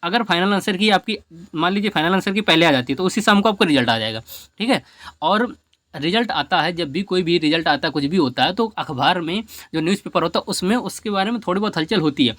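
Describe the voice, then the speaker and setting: A man's voice: high voice, lively intonation, fast, a bit bright, dry recording. A man giving an informal, emphatic explainer to students as an exam coach, often repeating his points for stress. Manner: repetitive, emphatic, advising.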